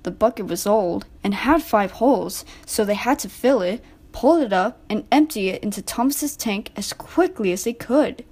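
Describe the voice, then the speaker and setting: A woman's voice: mid-range voice, lively intonation, moderate pace, a little mellow. A woman reading a scripted children's story as narrator.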